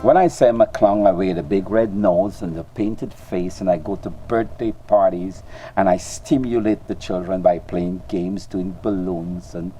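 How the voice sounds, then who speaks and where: A man's voice: low voice, moderate pace, warm tone, faint music behind, low-quality recording. An older man with a Guyanese accent, a storyteller and clown giving earnest interview answers, often repeating words as he searches for them.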